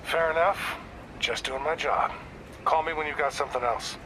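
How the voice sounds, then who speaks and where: A man's voice: fairly high pitch, moderate pace, background noise, natural voice. A man voicing an older police detective in a video game, world-weary, guarded and matter-of-fact in his talk. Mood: probing, blunt.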